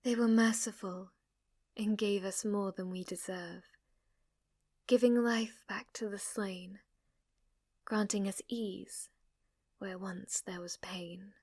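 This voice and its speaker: A woman's voice: medium pitch, steady tone, slow, long pauses, darkish tone, dry recording, clean studio sound. A woman playing an obsessively devoted, submissive maid in an ASMR roleplay, speaking formally, reverently and flirtatiously to her master. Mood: hushed reverence.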